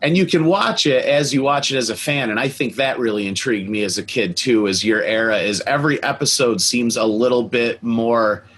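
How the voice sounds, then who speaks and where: Man, medium pitch, casual interviewer, enthusiastic fan talk, faint noise in the background. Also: fairly quick, few pauses, fairly bright tone.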